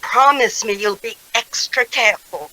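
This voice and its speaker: A woman's voice: a little high, expressive, normal speed, bright, forceful tone, poor audio. An actress playing an anxious, fussing mother of a grown son in a radio drama, pleading fretfully. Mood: worried, pleading.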